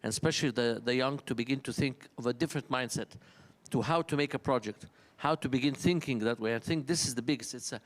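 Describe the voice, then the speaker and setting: A man's voice: medium pitch, quick pace. A man with an Egyptian accent, a native Arabic speaker, giving earnest impromptu panel remarks in short rhetorical phrases. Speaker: summit panel speaker, senior official.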